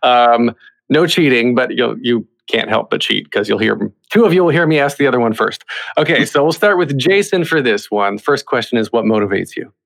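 Man, podcast host, medium pitch, conversational interviewing style. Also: expressive, brisk, gravelly, fairly bright tone.

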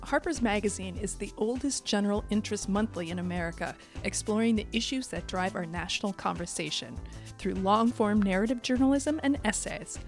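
A woman's voice: medium pitch, a little fast, soft background music. A woman hosting a podcast, speaking as a presenter in the manner of show sign-offs and credits. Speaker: podcast host.

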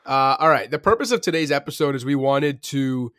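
A man hosting a sports podcast, talking quickly and casually, thinking aloud with plenty of fillers. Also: medium pitch, animated, a bit bright, dry recording, studio quality. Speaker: podcast host.

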